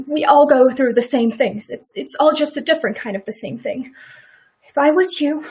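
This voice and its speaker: Woman as a farmer's wife, high-pitched, regretful, emphatic, quietly defiant, rural phrasing, radio play. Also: lightly acted. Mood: firm, guarded. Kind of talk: stage-like radio play acting.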